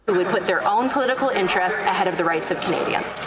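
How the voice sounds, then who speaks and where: Indignant female politician over loud crowd noise. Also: medium pitch, expressive, quick pace, low-quality recording. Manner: indignant, emphatic. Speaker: member of parliament. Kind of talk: parliamentary debate speech. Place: parliament chamber.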